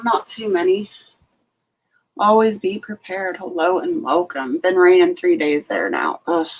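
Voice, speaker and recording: A woman's voice: fairly low pitch, slight background noise, poor audio. A sleepy woman hosting a late-night casual live stream from home, greeting each viewer by name. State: sleepy, just out of bed. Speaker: homestead channel host.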